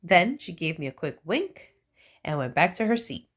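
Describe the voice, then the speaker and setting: A woman reading a children's picture book aloud, with slow, playful storytelling and animated emphasis. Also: on the low side, breathy edge.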